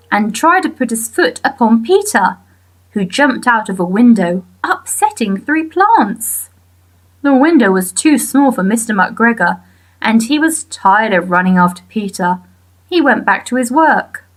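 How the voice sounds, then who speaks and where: A woman narrates a children's story in a fairly high voice. She reads at an unhurried pace, with lively swings of intonation. Her delivery is expressive, with deliberate pauses for effect. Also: low-quality recording. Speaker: audiobook narrator.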